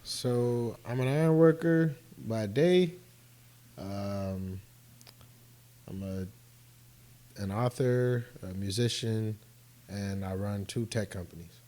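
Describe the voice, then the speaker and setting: Mellow-voiced man in his thirties. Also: fairly low pitch, slow pace, long pauses. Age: 30s. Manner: casual, lots of "like" and "you know". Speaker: ironworker, panel guest. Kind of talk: panel discussion.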